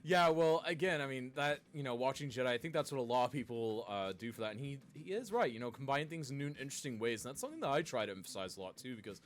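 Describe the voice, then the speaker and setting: A man's voice: mid-range voice, lively intonation, fast, few pauses. A man hosting a livestream competition, interviewing contestants in an upbeat way with frequent fillers. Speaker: host.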